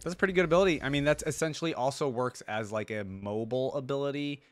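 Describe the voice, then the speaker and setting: A man's voice: mid-range voice, fast, slight background noise. A man hosting a reaction video, giving casual, slangy, exclamatory commentary.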